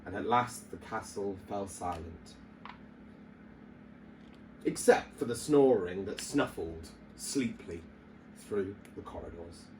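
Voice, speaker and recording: A man's voice: sits low, noisy background. A man reading a children's story aloud like a teacher at storytime, theatrical and emphatic.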